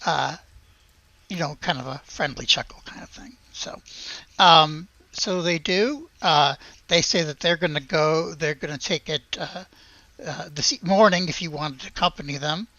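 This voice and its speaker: Woman game master, bright voice, easygoing chatty narration, really dry close sound. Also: low voice, normal speed, dry recording, dull audio. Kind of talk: narrating a tabletop role-playing game.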